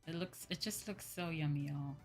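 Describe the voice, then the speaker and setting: A woman hosting a casual livestream, chatting with viewers in a drawn-out, exclamatory way and often repeating her phrases. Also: low-pitched, a little mellow, faint music behind. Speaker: livestream host.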